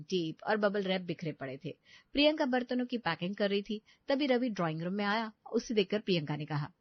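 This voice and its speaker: A woman's voice: medium pitch, no echo, lo-fi sound. A woman reading scripted narration as the narrator of a family story.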